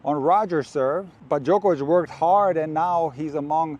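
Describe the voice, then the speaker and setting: A man from Croatia who coaches tennis, talking to camera in an earnest, direct and measured way, outdoors. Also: on the high side, darkish tone, muffled recording.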